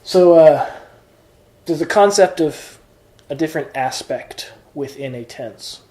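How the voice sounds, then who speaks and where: Male tutor, patient, step-by-step explainer, warm tone, roomy echoey recording. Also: on the high side, lively intonation, takes it slowly. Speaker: Greek tutor. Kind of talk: informal one-on-one lesson.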